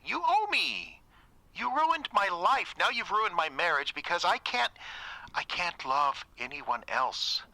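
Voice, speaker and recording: An unstable ex-boyfriend in an audio drama, with a bright, sharp-edged voice that swings widely in pitch. He is self-pitying and pleading, quick to turn accusing. The sound is close and dry. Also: big pitch swings, dry recording, full character voice, rough recording. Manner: emotional, swinging from wheedling to accusing.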